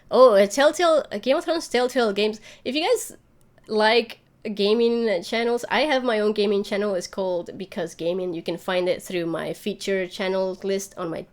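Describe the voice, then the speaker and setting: Chatty woman livestream host. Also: medium pitch. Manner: chatty and rambling, thinking aloud. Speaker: YouTube channel host. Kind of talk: casual livestream chat answering viewer questions.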